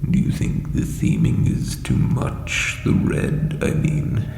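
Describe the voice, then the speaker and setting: A man playing an ancient, insecure undead lich king in a comedic audio roleplay, with a breathy croak and hesitant, pompous phrasing. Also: extremely low pitch, huge pitch swings, slow pace, a little mellow, exaggerated voice. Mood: sheepish, explaining. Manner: hesitant, self-doubting, theatrical formal phrasing. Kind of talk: scripted comedic audio roleplay addressed to listener. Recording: reverberant echoey hall, faint background noise.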